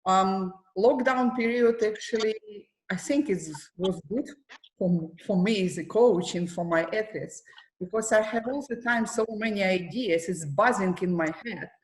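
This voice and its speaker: A middle-aged woman from Ukraine with a Ukrainian accent, a para athletics coach answering panel questions in careful, non-native English. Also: mid-range voice. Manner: slow, careful phrasing with non-native grammar. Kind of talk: casual panel Q&A. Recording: roomy echo.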